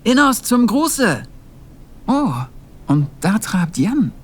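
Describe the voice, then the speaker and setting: A man's voice: high voice, very animated, exaggerated voice. A man playing a humble, pious young monastery novice in a fantasy audio play, speaking earnestly and with solemn care. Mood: friendly, curious. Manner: solemn, measured.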